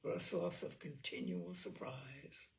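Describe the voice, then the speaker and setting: A woman's voice: deep voice, fairly even intonation, measured pace, gravelly, rough recording. A woman reading a story aloud with dry, wry storytelling. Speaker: reader of stories.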